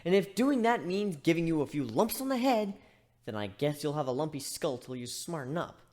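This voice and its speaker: Stern creature medic played by a woman, short-tempered and scolding, lowish pitch with lively swings, for a fantasy story reading. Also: fairly quick. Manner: scolding, threatening. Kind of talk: dramatic reading of fan fiction.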